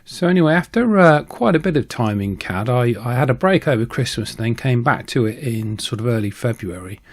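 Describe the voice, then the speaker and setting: A man narrating a casual how-to video as a hobbyist maker, in a conversational, halting way with frequent ums and pauses. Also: medium pitch, lively intonation. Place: voice-over recording.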